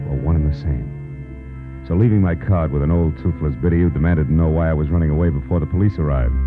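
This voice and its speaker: An actor playing a hard-boiled, wry and insistent private detective in a 1940s radio drama, with clipped, sardonic tough-guy delivery. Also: extremely low pitch. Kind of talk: 1940s radio drama acting with first-person narration. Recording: muffled old radio broadcast with music.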